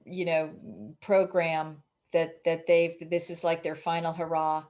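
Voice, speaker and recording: A woman's voice: low voice, level tone, unhurried, darkish tone. Emphatic woman in a spiritual interview. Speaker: interviewed author. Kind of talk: casual spiritual interview conversation.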